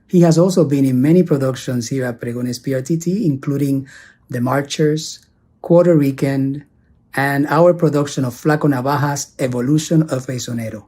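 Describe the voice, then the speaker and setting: A man's voice: on the high side, warm tone, breathy edge. A man from Puerto Rico giving a formal scripted introduction as host of a theater's artist series. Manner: formal, measured, switches into Spanish greetings.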